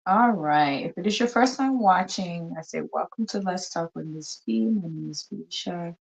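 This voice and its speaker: A woman's voice: fairly low pitch, normal speed, creaky, husky, low-quality recording. A woman hosting a live talk show, chatting casually and repeating herself as she greets viewers, with a cold. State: has a cold, coughing. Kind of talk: casual live-stream chat.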